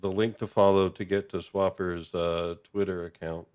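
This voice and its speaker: Low-pitched man hosting a casual chat. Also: flat delivery, normal speed, darkish tone, clear, resonant tone. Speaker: show host.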